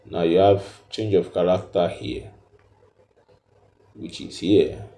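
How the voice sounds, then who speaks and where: A man giving an unscripted step-by-step tutorial in a halting, repetitive, instructional way, recorded in an echoey room. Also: sits low, steady tone, warm tone. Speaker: trading tutorial presenter.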